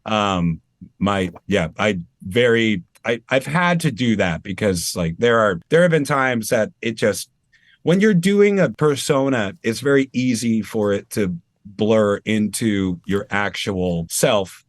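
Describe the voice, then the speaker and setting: A man's voice: fairly low pitch, takes it slowly, no room sound, clean studio sound. A man from Maine, a tribute performer talking casually and animatedly as an interview guest over a video call. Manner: chatty, animated, self-deprecating.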